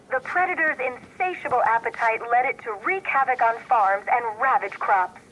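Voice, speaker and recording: An actress playing a dramatic news reader on the radio, delivering a scripted bulletin in a video game. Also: female voice, sits high, a bit bright, dull audio, slight background noise.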